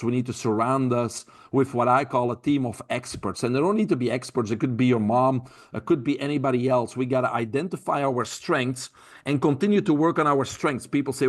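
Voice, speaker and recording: A man with a Belgian accent, a health mentor speaking earnestly as a podcast guest, often repeating words as he thinks. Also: mid-range voice. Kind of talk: podcast interview.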